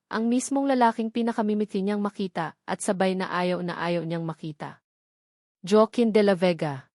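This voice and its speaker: A woman's voice: medium pitch, darkish tone, no room sound, studio quality. A woman reading scripted narration of a romance story as its narrator.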